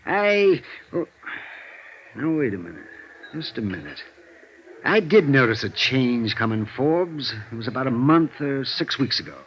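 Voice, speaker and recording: An actor playing a fretful, hesitant head of an accounting department in a vintage radio drama, often flustered and repeating himself. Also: male voice, mid-range voice, big pitch swings, unhurried, pauses often. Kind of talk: 1950s radio drama acting. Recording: dull vintage radio recording, faint noise.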